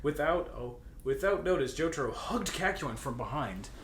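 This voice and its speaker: Man, comedic read-aloud, theatrical, lively swooping intonation, roomy echo, background noise. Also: on the high side, normal speed. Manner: theatrical, breaks into laughter, bursts into mock shouting. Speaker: co-host reading fan fiction aloud.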